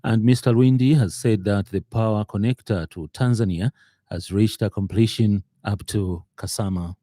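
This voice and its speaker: A Zambian man reads the radio news in a low, very mellow voice. The delivery is a scripted bulletin, recorded close and dry in a studio. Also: moderate pace, dry recording. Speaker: radio newsreader.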